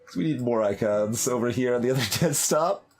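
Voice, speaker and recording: A man giving casual, rambling commentary as he plays a game, halting and thinking aloud as he speculates, with game music behind him. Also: medium pitch, animated. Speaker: streamer doing a blind voiced playthrough. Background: video game music.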